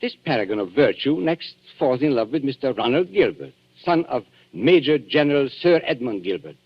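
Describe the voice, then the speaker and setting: Suave, smug blackmailer, lively old radio. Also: male voice, mid-range voice, moderate pace, slightly rough. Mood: gloating. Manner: mock-courteous, theatrical, smug. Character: suave, cynical male antique dealer and gloating blackmailer. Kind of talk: 1940s radio thriller acting. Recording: muffled, noisy vintage 1940s radio recording.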